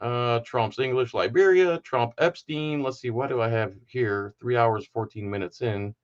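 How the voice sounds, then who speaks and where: A man's voice: medium pitch, normal speed, muffled recording. Indignant rambling podcast host, man. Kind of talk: casual political commentary.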